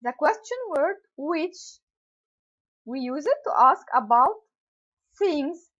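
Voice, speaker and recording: A woman's voice: high voice, lively intonation, slow pace, a bit bright, lo-fi sound. A woman teacher carefully explaining question words to young pupils, acting out sample questions and answers. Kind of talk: English grammar lesson.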